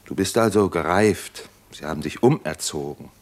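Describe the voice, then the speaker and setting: A weary, proud intellectual man speaks in a low-pitched voice in the manner of 1950s radio drama. He is deliberate and reflective, setting his phrases apart with meaningful pauses. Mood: bitter irony.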